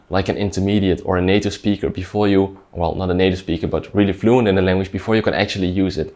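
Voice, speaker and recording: Very deep-voiced man explaining calmly. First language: Dutch. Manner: thoughtful, conversational, frequent "like" and restarts. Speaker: language learning creator. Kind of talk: reflective talking-head explainer.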